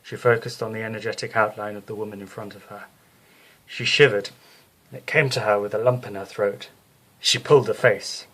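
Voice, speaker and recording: A man narrating a scripted bedtime story as the storyteller, in a measured, descriptive way. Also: fairly low pitch, fairly even intonation, fairly bright tone, low-quality recording.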